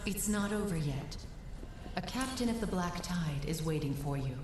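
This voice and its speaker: An actress playing a composed, stern mystical guide in a fantasy video game, speaking in a measured, formal way. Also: female voice, fairly low pitch, noisy background, close to an everyday voice. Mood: cool encouragement. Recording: echoey room, music behind.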